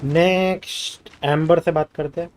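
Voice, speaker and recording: A man's voice: on the high side, steady tone, racing, a little mellow, a little hiss or hum. A man who natively speaks Hindi, commentating casually on a game and reading dialogue options aloud as a streamer. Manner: switches between Hindi and English.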